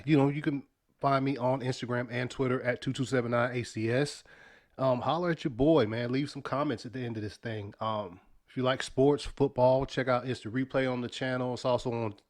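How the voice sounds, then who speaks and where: A young man with a sniffly voice from sinus trouble, hosting a casual weekly news podcast in a loose, slangy way with lots of fillers. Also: mid-range voice. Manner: laid-back, loose slang, many fillers and restarts. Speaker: podcast host.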